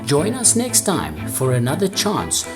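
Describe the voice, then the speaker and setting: A woman announces a radio mystery in a scripted style. Her voice is extremely low and slow, with animated pitch movement, under loud music.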